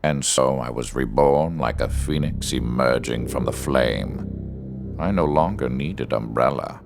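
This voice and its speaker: Ruthless villain back from death: very low, gravelly, menacing calm. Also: male voice, exaggerated voice. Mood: grandiose. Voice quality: deep gravelly voice. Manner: slow, icy, menacing delivery. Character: cold, ruthless male villain risen from death. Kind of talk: game cutscene voice acting. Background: music.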